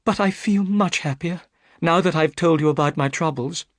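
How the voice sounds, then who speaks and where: A man's voice: on the high side, moderate pace, dry recording, pitched above the performer's own voice, lightly acted. A man voicing a frightened young woman who pleads for help, with earnest, breathless delivery. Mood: anxious. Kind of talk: audiobook character reading.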